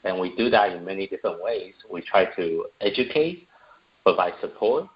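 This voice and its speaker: A man from Taiwan answers interview questions in an earnest way and often pauses on fillers. His voice is very low. The audio sounds dull and a bit lo-fi. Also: animated. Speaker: guest, entrepreneur and parent.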